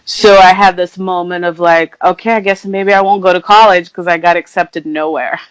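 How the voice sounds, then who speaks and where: A woman's voice: lowish voice, fairly even intonation, moderate pace, clear, resonant tone, dry recording, rough recording. A woman in her thirties from Brazil, chatting casually as a podcast guest, self-deprecating and full of fillers. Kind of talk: casual conversational interview.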